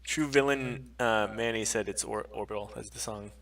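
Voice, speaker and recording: Bright, lively man, slightly off-mic. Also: fairly low pitch, normal speed, distant mic. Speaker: podcast co-host. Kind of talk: casual live-stream chat about films and games. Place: live online stream.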